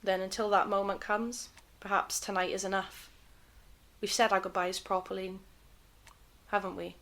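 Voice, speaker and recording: A woman playing a composed, wistful 1930s television broadcaster in an audio drama, with a gentle, reflective manner. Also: medium pitch, flat delivery, moderate pace, lightly acted. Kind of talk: fan-made audio drama acting.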